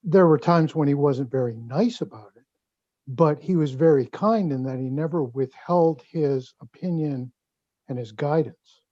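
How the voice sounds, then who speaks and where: Dull, dry call audio carries a man from Hawaii. His voice is mellow and a touch higher than average. He puts questions and comments to a speaker in a measured, conversational way, pausing to think. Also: dry recording. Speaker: audience member asking a question. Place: online video call.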